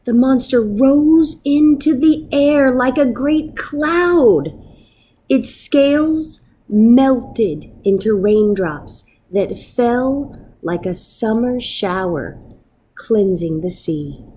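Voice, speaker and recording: A woman narrates a children's story with expressive drama. Her voice sits fairly high, and she takes her time, leaving measured pauses between phrases. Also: breathy edge. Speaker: storybook reader.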